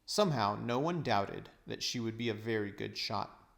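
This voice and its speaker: A man reading a children's novel aloud as narrator, measured and expressive. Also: on the low side, gravelly. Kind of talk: storybook narration.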